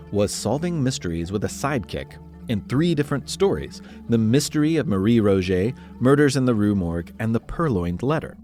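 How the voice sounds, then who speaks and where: A man's voice: mid-range voice, a little mellow. A man narrating scripted history as a podcast host, in a playful, conversational storytelling way with dramatic emphasis.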